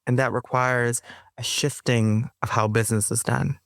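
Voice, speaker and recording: A woman who is a poet and interview guest, talking in an emphatic, expressive way. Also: very deep voice, normal speed, gravelly, dry recording, studio quality. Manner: emphatic, laughs often while talking. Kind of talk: conversational interview, reciting own poem.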